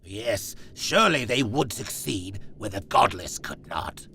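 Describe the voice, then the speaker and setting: A man playing a proud, zealous reptilian warrior with a gravelly, solemn and haughty delivery in an audio drama. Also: on the low side, big pitch swings, measured pace, pushed and bright, slight background noise, full character voice. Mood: scornful.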